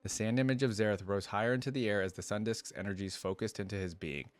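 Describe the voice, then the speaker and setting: Low-voiced man reading and reacting. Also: fairly even intonation, quick pace, dry recording. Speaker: fan reaction video creator. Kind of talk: reading a fantasy story aloud with casual reaction asides.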